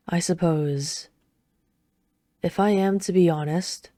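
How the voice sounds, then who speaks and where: A woman acts out a reserved knight in a fantasy ASMR roleplay. Her voice is deep and velvety, recorded close and dry, with formal, wry restraint. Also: mellow, no room sound. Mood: reluctant. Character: reserved, dutiful female knight, dryly scolding royal lover.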